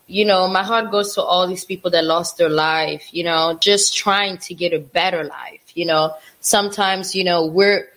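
A woman's voice: fairly low pitch, fairly even intonation, moderate pace, few pauses, a bit bright. Impassioned woman co-host, repeats for emphasis. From Africa. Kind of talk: casual opinion chat.

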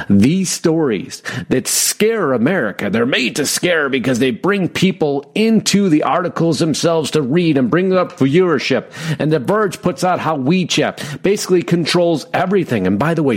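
A man hosting an online broadcast, giving impassioned, emphatic commentary on news articles, reading them aloud and answering them with sarcasm. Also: a little high.

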